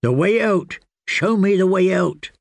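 Woman knight read by a man, wounded, blunt, curt, slow, close dry sound. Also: high-pitched, vocal fry, no echo, pitched above the performer's own voice. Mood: wary. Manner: curt, demanding.

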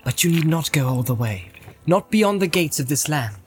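Man doing an earnest young hobbit, firm, insistent, a touch raised pitch, storybook reading. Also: expressive, moderate pace, faint music behind, natural voice. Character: earnest, weary young male hobbit leader. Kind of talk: audiobook character voice.

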